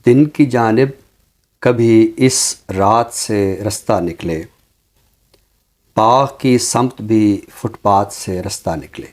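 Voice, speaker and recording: A man speaking as a commentator on a literary show, in a deliberate, reflective way with emphatic phrasing. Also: fairly low pitch, a little mellow. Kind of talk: reflective commentary and Urdu poetry recitation.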